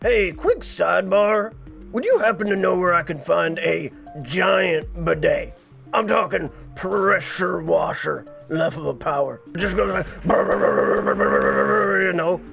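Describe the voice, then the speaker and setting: Male actor as boozy old cartoon shopkeeper, very gravelly, deadpan, rambling, music underneath. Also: sits high, a little slow, full character voice. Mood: drunken rambling. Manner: blunt, rambling, drunken deadpan. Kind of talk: anime parody dub comedy.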